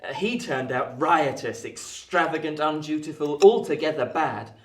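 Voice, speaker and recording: An actor playing a genial, well-bred young London gentleman, polite, tactful and chatty, in a radio play. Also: a little high, moderate pace, recorded from a distance, lightly acted. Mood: storytelling. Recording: echoey room, off the mic.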